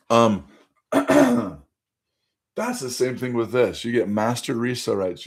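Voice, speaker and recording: A man hosting a casual phone livestream, pitching a side business to viewers, his voice a little hoarse from being under the weather. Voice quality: conversational, addresses viewers directly, frequent fillers. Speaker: livestream host.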